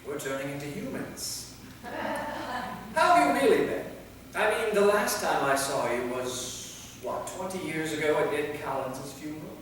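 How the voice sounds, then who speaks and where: A man's voice: fairly high pitch, animated, moderate pace, a bit bright. A man playing an anxious, awkward centuries-old vampire novelist in a staged play reading, hesitant and self-effacing. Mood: awkward, subdued. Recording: echoey hall, distant mic, faint noise.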